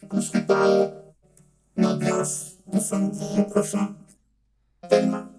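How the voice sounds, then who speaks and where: A man's voice: slow, a little mellow, no echo, faint music behind. A man's political speech turned into a robotic voice by a synth vocoder. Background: synthesizer tones.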